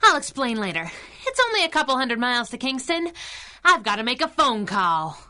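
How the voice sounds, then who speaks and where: An actress playing a plucky, wisecracking superhero sidekick in a 1930s-style radio serial, with a flippant, breezy manner. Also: female voice, on the high side, very animated, brisk, sharp tone, full character voice. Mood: wry, evasive.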